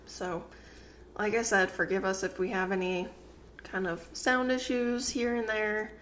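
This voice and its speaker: A woman's voice: medium pitch, steady tone, a little slow, fairly bright tone, slight background noise. A woman co-hosting a casual podcast with her partner, a little congested and nasal, rambling with fillers and restarts into a desk microphone. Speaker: co-host of a couple's channel. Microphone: new desk microphones.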